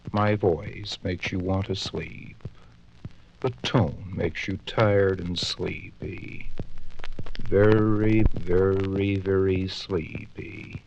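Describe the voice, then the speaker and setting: Male hypnotist, very low gravelly voice, repetitive suggestions, old lo-fi record sound. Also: darkish tone, a little hiss or hum. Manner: slow, repetitive, insistent suggestions. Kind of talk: hypnotic induction. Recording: dull lo-fi vinyl record.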